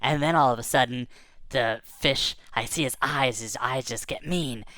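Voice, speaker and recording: A woman improvising a children's story in a casual, animated, conversational way, acting out the dialogue as she goes. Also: extremely low pitch, moderate pace, no room sound.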